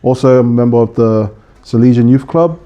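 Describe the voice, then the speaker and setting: Mellow-voiced young man chatting easily. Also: mid-range voice, fairly quick. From Samoa. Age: 20s. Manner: easygoing, laughs often, many ums. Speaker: podcast guest. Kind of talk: casual podcast chat.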